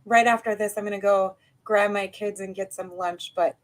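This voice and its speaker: A woman's voice: medium pitch, fairly even intonation, moderate pace. An American woman hosting a live interview over a video call, upbeat and chatty as she greets the guest and the viewers. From United States. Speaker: podcast host.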